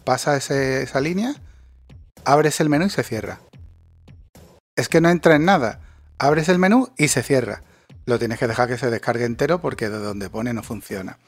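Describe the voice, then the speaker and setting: A man rants in a casual chat. He is sarcastic and swears freely, with an average pitch and faint music playing underneath. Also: animated, moderate pace, long pauses, dry recording. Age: 40s.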